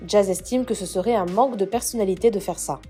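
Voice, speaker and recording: Casting: woman narrator, mellow tone, steady gossip-news read, music bed. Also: medium pitch, fast. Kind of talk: scripted voice-over narration.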